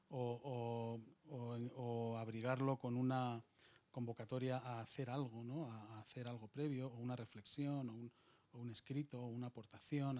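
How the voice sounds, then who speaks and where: A man's voice: medium pitch, darkish tone. A man speaking as a filmmaker on a panel, earnest and searching for words with frequent restarts. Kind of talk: round-table discussion.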